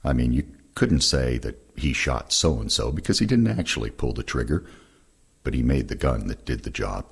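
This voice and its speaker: Older guy, super low n creaky, reading out veterans war stories. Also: big pitch swings, normal speed. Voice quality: gravelly, husky. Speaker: reader of veterans' oral histories. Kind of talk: reading first-person war recollections aloud.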